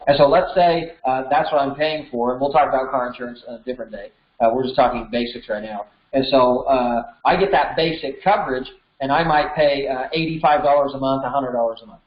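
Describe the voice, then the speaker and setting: A man's voice: medium pitch, brisk. An American man in his thirties giving an informal, conversational lesson, explaining things step by step. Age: 30s. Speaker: instructor. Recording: roomy echo, slightly dull sound.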